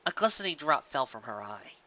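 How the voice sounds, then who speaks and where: A man narrating scripted fiction in a dramatic, emphatic storytelling manner that moves between loud and hushed phrases. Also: mid-range voice, big pitch swings, a little fast, muffled recording.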